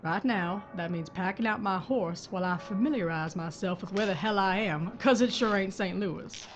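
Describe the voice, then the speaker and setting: A woman's voice: mid-range voice, normal speed, dull audio, soft background music, close to an everyday voice. A woman playing a blunt sheriff. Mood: steely. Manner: terse, dry, gritty. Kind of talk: audio drama acting.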